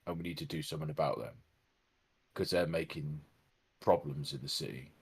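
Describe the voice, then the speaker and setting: Very husky, low-voiced man. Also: brisk. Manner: colloquial, matter-of-fact explaining. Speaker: tabletop role-play player. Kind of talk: improvised in-character tabletop role-play chat.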